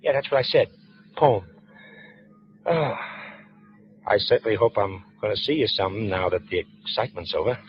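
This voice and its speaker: A man playing a brash young police lieutenant in 1940s radio drama, talking fast in easy slang. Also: on the low side, lively intonation, slightly rough. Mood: smitten. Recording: dull lo-fi 1940s broadcast with faint hiss.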